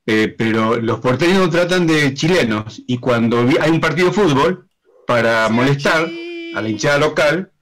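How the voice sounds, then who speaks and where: A middle-aged man calls in to a talk show from his home over a webcam. He talks casually and rambles, warming up and getting animated as he tells a story, circling back on his own words. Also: mid-range voice, normal speed. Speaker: guest, media director. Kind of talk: casual chat. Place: home room in Mendoza. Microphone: video call webcam.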